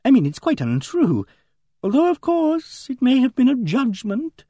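Man voicing a high-pitched, fluttery elderly spinster. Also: wildly swooping pitch, a little slow, mellow, breathy edge, no room sound, full character voice. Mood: flustered denial. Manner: hesitant, agitated, murmuring. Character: genteel, fluttery, pious elderly spinster, easily shocked. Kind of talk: audiobook character dialogue.

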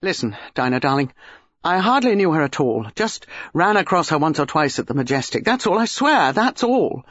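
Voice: medium pitch, big pitch swings, brisk, fairly bright tone, no room sound, muffled recording. A reader voicing a shaken young husband, sullen and fierce, with an agitated, blurting delivery.

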